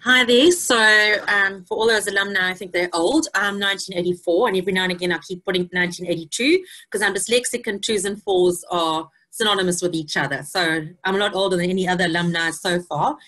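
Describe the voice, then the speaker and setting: A woman's voice: medium pitch, fast talker, few pauses, a bit bright. A woman in her thirties from Zimbabwe, introducing herself on a video call in a chatty, self-deprecating way. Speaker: alumna.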